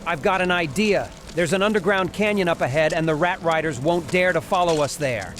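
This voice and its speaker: An actor playing a daring, steady male gnome companion in a fantasy audio story. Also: on the high side, brisk, soft background music, close to an everyday voice. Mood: daring, urgent.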